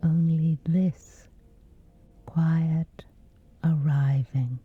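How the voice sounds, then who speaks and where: A woman softly guiding a scripted sleep meditation in a breathy, gentle, soothing hush with long pauses. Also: low voice, fairly even intonation, phone-quality sound, faint music behind. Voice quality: breathy, whispery soft.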